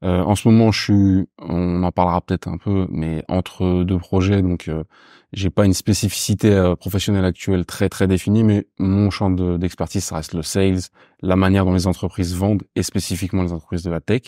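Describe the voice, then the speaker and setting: Man, 30s, from Paris, deep mellow voice, casual interview guest, fillers, slang. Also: a little fast, dry recording, studio quality. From Paris, France. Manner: relaxed, informal, many fillers and slang, searching for words. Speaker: podcast guest.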